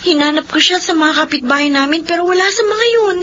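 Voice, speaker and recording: A woman's voice: extremely high pitch, quick pace, a bit bright. A woman playing a young, hardworking, pleading older sister in a Filipino radio drama. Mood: worried. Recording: dull radio sound, noisy background.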